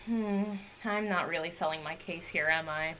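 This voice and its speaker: A woman's voice: low-pitched, normal speed, pushed and bright, rough recording. A woman playing a chatty, eccentric inventor in an audio drama, rambling eagerly and wheedling to justify herself. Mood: nervous persuasion. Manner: rambling, wheedling, talks to a silent companion.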